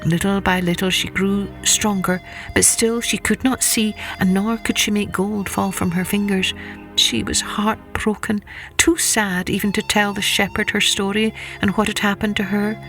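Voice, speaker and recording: A woman's voice: mid-range voice, flat delivery, normal speed, a bit bright, soft background music. A woman storyteller reading a scripted children's folktale expressively.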